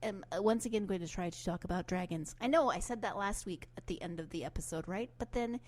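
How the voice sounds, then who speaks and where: A woman podcast host wrapping up her show, enthusiastic and chatty, a bit hoarse from a cold. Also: mid-range voice, quick pace. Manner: warm-hearted, enthusiastic, chatty asides. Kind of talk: solo podcast outro.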